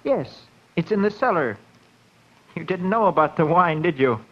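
An actor in a 1940s radio horror drama playing a strangely eager little fat collector, speaking in an insinuating way. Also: male voice, a little high, animated, normal speed. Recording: muffled 1940s radio recording.

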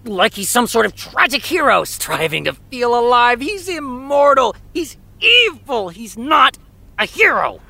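An American man acting as a stubborn, high-strung TV writer in a fiction podcast, emphatic and precise in delivery. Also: sing-song, unhurried, vocal fry, sharp tone, dry recording, full character voice. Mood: angry, insistent. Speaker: voice actor. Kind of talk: naturalistic fiction podcast dialogue.

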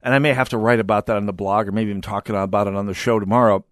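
A man's voice: on the low side, fairly even intonation, racing, no room sound, clean studio sound. A man hosting a talk radio show, speaking emphatically and wryly, with frequent restarts. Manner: emphatic, wry, restarts often. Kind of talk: talk radio monologue and calls.

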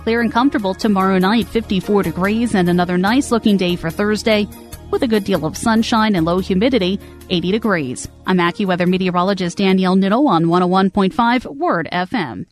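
A woman meteorologist reading a scripted weather forecast in a smooth, even way. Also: medium pitch, fairly quick, soft background music.

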